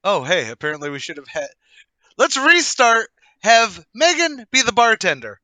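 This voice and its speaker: A man's voice: sits high, sing-song, moderate pace, sharp tone, dry recording. A man directing a radio play table read with casual rehearsal banter.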